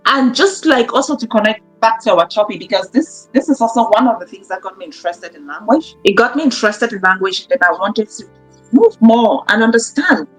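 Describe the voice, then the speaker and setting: A woman's voice: medium pitch, animated, a little fast, faint music behind, low-quality recording. A woman, a guest in a conversational interview, who talks emphatically and repeats her points for stress. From Cameroon. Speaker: guest, academic.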